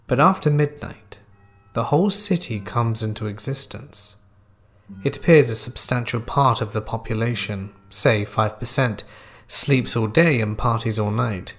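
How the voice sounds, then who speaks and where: A man reading a scripted first-person horror story in a measured, dramatic storytelling manner. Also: mid-range voice.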